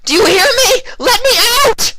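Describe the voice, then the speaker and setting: A man voicing a feisty young female pony, frightened and defiant, shouting and screeching in a story reading. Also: very high-pitched, expressive, moderate pace, background noise, pitched above the performer's own voice. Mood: panicked, defiant.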